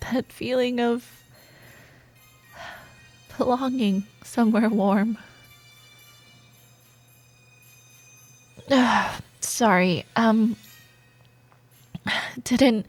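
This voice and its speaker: A woman playing a proud, teasing yet wistful rival coworker in an intimate ASMR romance roleplay monologue, with playful banter, sighs and soft pauses. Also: fairly high pitch, dry recording. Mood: wistful, nostalgic, then sheepish, melancholy. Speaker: audio roleplay performer.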